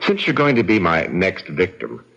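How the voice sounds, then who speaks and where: A dull, lo-fi radio mystery recording carries a suave, cold-blooded killer. His deep voice swoops theatrically as he gloats and taunts with smug relish. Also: wildly swooping pitch, normal speed, gravelly, a bit bright, heavily acted. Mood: smug menace. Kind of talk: radio drama acting. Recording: dull, band-limited old radio recording.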